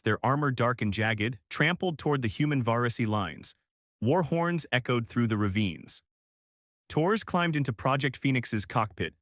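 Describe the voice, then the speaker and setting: A man reading a scripted science fiction war story as its narrator, in a measured, formal storytelling manner. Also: mid-range voice, fairly bright tone, no room sound, studio quality.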